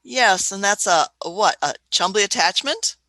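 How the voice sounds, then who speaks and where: A woman's voice: mid-range voice, lively intonation, normal speed, bright, forceful tone, dry recording. A woman playing an eccentric, curious elderly time traveller who mutters and hums while she thinks, in an amateur radio play. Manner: muttering hmms, musing, hesitant restarts.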